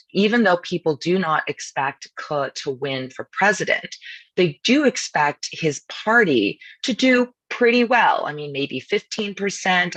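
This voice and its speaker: A woman who is a law professor, speaking with a husky voice over a video call in a media briefing and explaining things in a brisk, informal way. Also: sits low, fairly bright tone, dry recording, poor audio. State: husky voice from nonstop talking.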